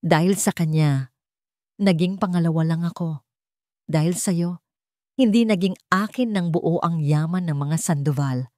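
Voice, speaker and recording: A woman voicing a cold, vengeful older villainess in a radio drama, taunting and menacing, with deliberate delivery. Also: fairly low pitch, warm tone, dry recording, studio quality, natural voice. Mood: bitter rage. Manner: deliberate, taunting, savoring each word. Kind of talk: Tagalog radio drama storytelling.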